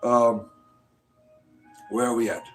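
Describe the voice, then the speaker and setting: Casual male stream host in his fifties, rambles and jokes, soft music in the background. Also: medium pitch, level tone, slow. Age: 50s. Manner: rambling, joking, full of "uh" and "right?". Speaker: livestream host. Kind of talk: casual live-chat talk answering viewers.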